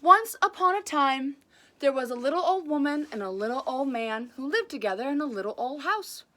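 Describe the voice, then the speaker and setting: A woman reading aloud as a storyteller, casual and chatty, with an enthusiastic, expressive delivery. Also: sits high, normal speed.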